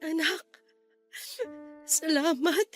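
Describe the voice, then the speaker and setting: A woman's voice: extremely high pitch, steady tone, drawn-out words, loud music behind the voice, heavily acted. A woman playing a heartbroken mother who clings to a lost love, anguished and tearful, in a radio drama. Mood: tearful, weak. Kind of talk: Tagalog radio drama acting.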